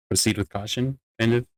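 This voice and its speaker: A man's voice: mid-range voice, a little slow, no room sound. A man chatting casually and jokingly while playing a game with friends. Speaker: player in a Minecraft roleplay group. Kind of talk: casual gaming chat.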